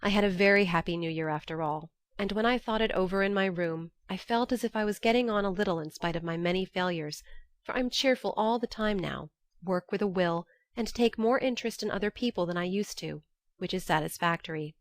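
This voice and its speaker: A woman's voice: medium pitch, fairly quick, dry recording, studio quality, natural voice. A woman playing a spirited, affectionate young woman reading out her letters home, expressively, in a classic novel audiobook. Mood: contented, amused.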